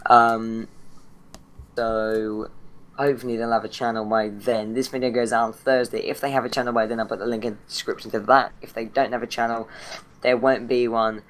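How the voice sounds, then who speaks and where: A teenage boy with a British accent and a croaky, hoarse throat chats loosely about his gaming channel. He rambles, stalls on ums and restarts, and his pitch barely moves, staying flat throughout. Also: normal speed. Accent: British English. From Britain. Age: teens. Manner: rambling, chatty, many fillers and restarts. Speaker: channel host. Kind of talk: casual gaming channel intro.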